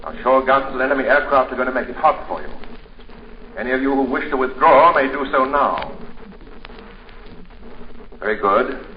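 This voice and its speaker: An actor playing a naval officer giving a formal, commanding briefing to boat crews. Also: male voice, medium pitch, animated, normal speed, long pauses, slight background noise, natural voice. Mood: grave. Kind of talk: 1940s radio acting. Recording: echoey muffled 1940s radio broadcast.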